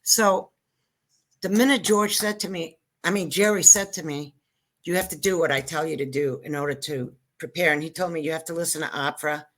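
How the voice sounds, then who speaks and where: An older woman from Israel, a Hebrew native speaker, speaks as a guest comic on a casual show. Her voice sits low but comes across bright and crisp. She rambles, cuts herself off, and jokes her way through the story. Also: fairly even intonation, a little fast. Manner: rambling, self-interrupting, joking storyteller. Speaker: guest comedian. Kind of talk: casual interview chat.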